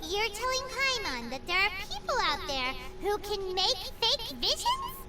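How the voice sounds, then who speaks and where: Casting: a little cartoonish fairy companion for a game; piercingly high, childlike, very animated, over prominent music. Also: female voice, measured pace, heavily acted. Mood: amazed. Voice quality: squeaky, childlike. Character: tiny childlike fairy companion, excitable, speaks in third person. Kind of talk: cartoonish fantasy game voice acting. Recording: music under game dialogue.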